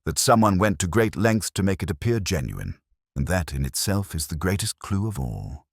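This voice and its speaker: A man voicing a keen, analytical Victorian gentleman detective in a story, precise and probing in manner. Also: low voice, expressive, moderate pace, gravelly, slightly rough, no echo, clean studio sound, exaggerated voice. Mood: keen, assured.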